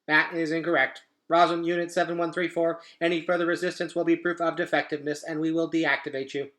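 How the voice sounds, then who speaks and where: A man's voice: fairly high pitch, flat delivery, a little fast, fairly bright tone, close to an everyday voice. A man voicing a cold, commanding robot enforcer in a children's storybook, giving clipped official orders. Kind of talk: children's book read-aloud.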